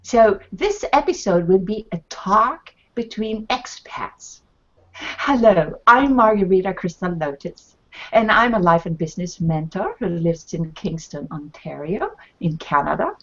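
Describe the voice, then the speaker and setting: A woman from Sweden opening a show, speaking in a measured, deliberate way over a video call. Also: lowish voice, expressive, muffled recording. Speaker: life and business mentor, host. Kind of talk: scripted show intro. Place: home in Canada.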